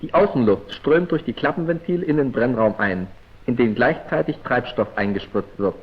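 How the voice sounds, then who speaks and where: A man's voice: medium pitch, lively intonation, moderate pace, breathy edge. Muffled 1940s film narrator, male. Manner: formal, precise, clipped declamatory delivery. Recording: muffled, dull vintage 1940s film soundtrack.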